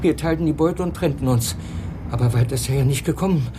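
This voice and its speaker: An actor playing a nervous, wary London crook in a German crime radio play, speaking tersely and anxiously. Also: male voice, on the high side, brisk, background noise, natural voice. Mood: uneasy.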